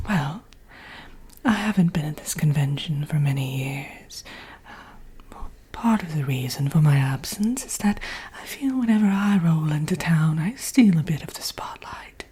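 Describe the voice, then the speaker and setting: Woman whispering as a slow, sly wicked witch. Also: deep voice, darkish tone, heavily acted. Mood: coy, welcoming. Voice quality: breathy whisper. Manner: theatrical, coyly boastful, doting, slow and murmuring. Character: vain, sly Wicked Witch, chatty old friend of the listener. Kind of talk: whispered ASMR roleplay.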